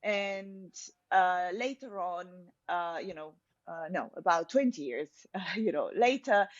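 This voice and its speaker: Lower-pitched Romanian-accented woman, halting, slightly dull audio. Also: lively intonation, no room sound. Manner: hesitant, halting delivery with frequent pauses. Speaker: podcast guest, personal branding specialist. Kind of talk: interview answer, recounting personal story.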